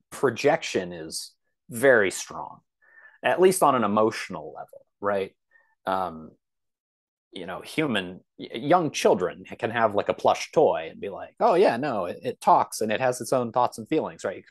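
A man speaking as a podcast guest, casual and thoughtful, exploring ideas tentatively and often rephrasing. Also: fairly low pitch. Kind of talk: casual, thoughtful interview talk.

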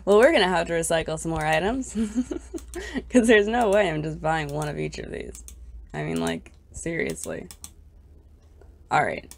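A young woman talks over her gameplay in a chatty, bubbly way, sighing over how cute everything is. Her voice is scratchy and stuffed up from allergies, with a low pitch and a lot of gravelly fry at the ends of her words. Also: very animated, measured pace, long pauses. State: congested, scratchy voice. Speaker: gaming YouTuber. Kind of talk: casual gameplay commentary.

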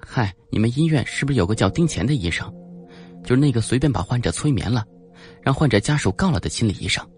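Brash young female reporter, chatty and bluffing, raised animated pitch male narrator acting, audiobook. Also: fast, soft background music, lightly acted. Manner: pushy, glib.

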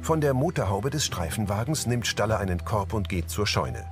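A man's voice: fairly low pitch, animated, normal speed. A man reading scripted present-tense scene descriptions as an audio description narrator. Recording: music behind the voice.

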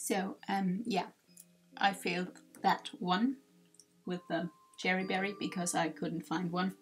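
A woman's voice: fairly low pitch, little pitch movement, a little slow. A woman hosting a let's play, chatting casually and offhand in a rambling way over a game. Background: video game music.

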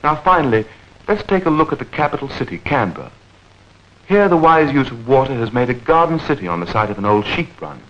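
Old 1940s newsreel narrator guy, lively intonation, careful diction, dull lo-fi film sound. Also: mid-range voice. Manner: measured, clearly enunciated, explanatory.

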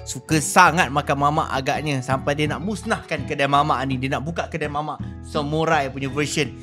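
A Malaysian man with a high, bright, forceful voice commentates on a game as roleplay. He is excitable and exclamatory, stretching words out for emphasis, with game music running behind him. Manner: animated, exclaiming, drawn-out shouts. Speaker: gaming video host.

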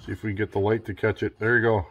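A man explaining his chainsaw porting work in a relaxed, chatty workshop tutorial, with self-deprecating asides, speaking off camera over his bench. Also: fairly low pitch, racing, slightly rough, lo-fi sound. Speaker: chainsaw builder demonstrating porting. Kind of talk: casual hands-on workshop tutorial. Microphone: camera close to workbench, speaker off camera. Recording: noisy workshop with power tool. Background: rotary grinding tool whirring.

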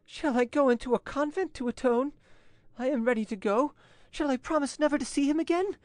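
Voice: high-pitched, a little fast, a little mellow, dry recording, clean studio sound, voice pushed up, lightly acted. A narrator voicing a frightened young Frenchwoman who pleads with theatrical, imploring urgency in an audiobook story. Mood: frightened pleading.

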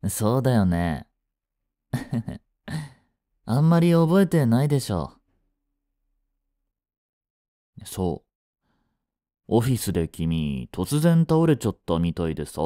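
Slow, mellow possessive young boyfriend, acted by a man. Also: medium pitch, no room sound, studio quality. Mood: falsely gentle. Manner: soft, coaxing, controlling, intimate. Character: jealous, possessive yandere boyfriend, sweetly menacing. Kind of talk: Japanese romantic situation voice drama.

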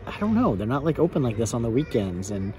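A man's voice: medium pitch, sing-song, quick pace, a little mellow. A man in his thirties with a North American accent, chatting casually to camera as a family travel vlogger. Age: 30s. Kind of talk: casual vlog chat to camera. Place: cafe-like hostel bar and outdoor beer garden. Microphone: camera at arm's length.

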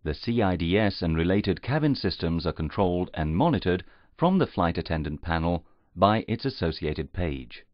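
A man reading scripted instructional narration in a formal, measured, carefully enunciated way. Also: low voice, animated, no echo. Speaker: training narrator. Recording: slightly muffled, dull audio.

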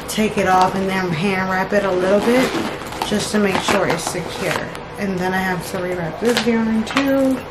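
A woman's voice: mid-range voice, heavy background noise. A young woman in her bedroom, chatting casually about her crochet plans, thinking aloud and correcting herself. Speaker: crochet vlogger. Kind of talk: casual chat. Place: bedroom, indoors.

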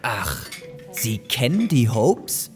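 An actor playing a polite, slightly awkward lawyer in an audio drama, speaking in a courteous, formal, hesitant way. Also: male voice, mid-range voice, wildly swooping pitch, slow pace, faint music behind. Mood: cautious.

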